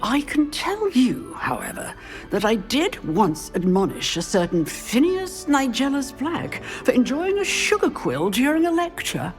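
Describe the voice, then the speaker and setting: A woman's voice: high voice, wildly swooping pitch, a little slow, faint music behind, exaggerated voice. An actress playing an older witch professor in a video game, poised, formal and wry, with a British accent. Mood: wry, reminiscing. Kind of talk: video game character acting.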